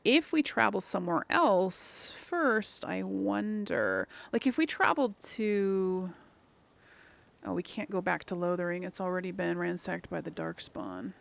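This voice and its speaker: A woman's voice: fairly low pitch, very animated, a little slow, creaky. A woman giving casual let's play commentary as host of a gaming series, chatty and thinking aloud as she plays. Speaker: host of a role-play video game series.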